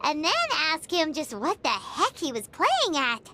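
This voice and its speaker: Tiny excitable game companion, childlike squeak, extremely high pitch, wildly swooping intonation, exclamatory. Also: female voice, measured pace, noisy background. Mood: eager. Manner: exclamatory, dramatic. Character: small, childlike floating girl companion, excitable and nosy. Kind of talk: video game voice acting.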